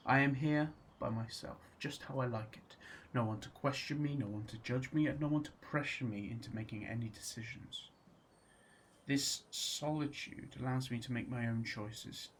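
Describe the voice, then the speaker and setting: An actor playing an anxious, solitary man in a radio drama monologue, measured and introspective. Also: mid-range voice, a little hiss or hum, lightly acted. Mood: anxious, confiding.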